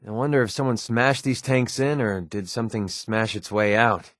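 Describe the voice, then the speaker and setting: An actor playing a cynical, wisecracking young mercenary in a video game, with an American accent and a sarcastic, streetwise manner. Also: male voice, on the low side, moderate pace, fairly bright tone, dry recording, clean studio sound, lightly acted. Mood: wry, uneasy. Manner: sarcastic, streetwise, casual delivery. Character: cynical, wisecracking young male mercenary sniper in a post-apocalyptic wasteland. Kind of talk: video game companion dialogue.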